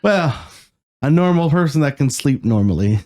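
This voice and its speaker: A man from Canada, co-hosting a podcast in a halting casual chat, often laughing. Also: on the high side, animated, mellow, dry recording, clean studio sound. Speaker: podcast co-host.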